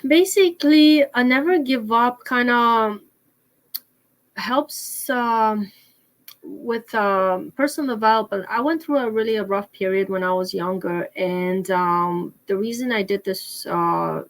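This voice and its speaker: A woman's voice: on the high side, measured pace, low-quality recording. Lady, middle-aged, lots of ums and restarts, chatting about herself. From Poland. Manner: hesitant, many fillers and restarts. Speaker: author and guest. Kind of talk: interview answers.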